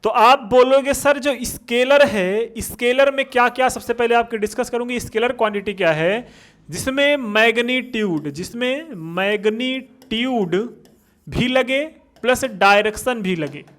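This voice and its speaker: Male physics teacher, high voice, slow and drawn-out, asks and answers himself. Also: a bit bright. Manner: repeats questions and answers them himself, drawn-out words. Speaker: exam coaching teacher. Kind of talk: physics lesson for exam students.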